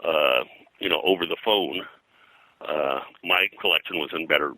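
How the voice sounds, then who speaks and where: An older man speaking as an interview guest, reminiscing in a halting, digressive way and often clearing his throat. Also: very deep voice, sharp tone, no echo, poor audio. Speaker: guest, pulp and comics historian. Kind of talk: interview conversation, reminiscing about his work.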